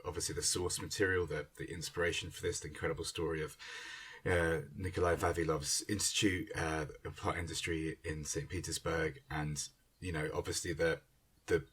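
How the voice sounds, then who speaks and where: A man speaking earnestly in an unscripted discussion as a theatre director, halting and searching for his words. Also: a bass voice, fairly even intonation, fairly bright tone, breathy edge. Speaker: theatre director in a panel conversation.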